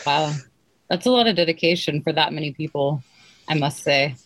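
A woman's voice: low-pitched, moderate pace, no room sound, rough recording. A woman chatting casually, chipping in with short questions and teasing remarks. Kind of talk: casual chat.

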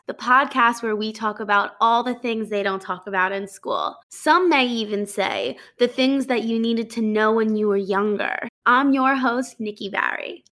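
A woman's voice: on the high side, a bit bright. A woman in her twenties hosting a solo podcast, chatty and upbeat, rambling with lots of fillers and laughing as she talks into a microphone. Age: 20s. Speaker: podcast host. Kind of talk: casual solo podcast talk. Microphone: studio microphone.

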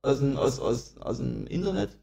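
A man's voice: medium pitch, expressive, moderate pace, gravelly, dark tone. A young man co-hosting a casual podcast chat, swearing often and laughing a lot. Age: young adult. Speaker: podcast co-host.

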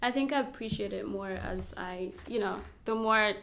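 A young woman from Rwanda, a model, speaking softly in a casual interview, laughing often and using many fillers. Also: mid-range voice, normal speed, creaky. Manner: soft-spoken, laughs often, frequent fillers.

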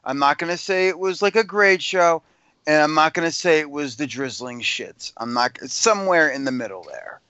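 This is a man talking on a casual podcast, slightly higher-pitched than most. His takes come out blunt and opinionated, with casual swearing. The sound is close and dry, with a dull, lo-fi tone. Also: lively intonation, normal speed, pushed and bright, clean tone, no room sound. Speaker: podcast co-host.

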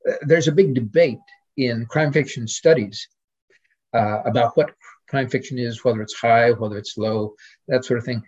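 A man speaking as a guest scholar in an informal academic discussion, in a hesitant, digressive manner with a husky voice. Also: mid-range voice, moderate pace, darkish tone, highs cut off.

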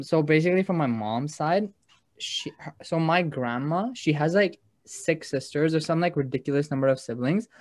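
A man's voice: on the high side, no room sound. A man co-hosting a casual podcast, rambling with constant uses of "like". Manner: rambling, heavy use of 'like'. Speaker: podcast co-host.

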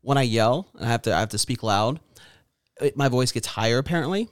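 A young man co-hosts a casual podcast, ribbing his friend with crude, deadpan humor and poking fun at himself. His voice sits in an ordinary middle range, recorded dry and close with no room sound. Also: medium pitch, fairly quick, smooth, no room sound. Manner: crude, self-mocking, deadpan teasing. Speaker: podcast co-host. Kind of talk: casual banter.

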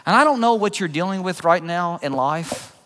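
Higher-voiced male preacher in a reverberant hall. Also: expressive, normal speed, a bit bright. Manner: conversational, earnest, uses rhetorical questions. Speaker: pastor preaching. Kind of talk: sermon with personal storytelling and illustration. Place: large church room, preaching to a congregation.

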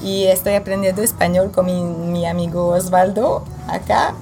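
A woman's voice: on the low side, steady tone, normal speed, warm tone. A woman from Quebec with a Quebec French accent, a native French speaker chatting as a guest, giggling and searching for words. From Quebec, Canada. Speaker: guest, student group leader. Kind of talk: casual chat in a learned language. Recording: music playing in the background.

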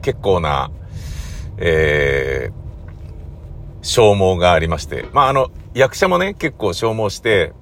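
Lively low-to-mid voiced man, halting solo talker. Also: a little hiss or hum. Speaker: host of a regular podcast, radio drama director. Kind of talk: casual solo talk, podcast diary.

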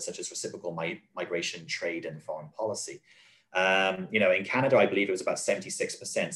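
A man explaining policy in an interview, earnest and measured, often restarting and repeating phrases. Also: sits low. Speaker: guest, advocacy spokesman.

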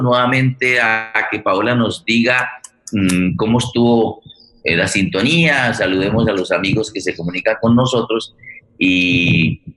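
A middle-aged Colombian man hosting a forum in a reflective, unscripted way, halting and searching for his words. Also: on the low side, a bit bright, slight background noise, poor audio. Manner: halting, searching for words, with repeated words and long hesitations. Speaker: host of a radio theatre forum.

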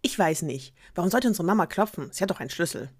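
A tiny, doubtful goat kid quickly questioning things in a higher, childlike voice, as a woman plays it. It has a close, dry storybook sound. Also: lively intonation, breathy edge, dry recording. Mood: skeptical. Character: youngest little goat kid, small and skeptical.

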